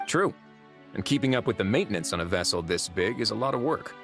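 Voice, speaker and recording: A man playing a calm, dryly witty duke in a video game cutscene, speaking in a composed, measured way. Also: fairly low pitch, soft background music. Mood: matter-of-fact.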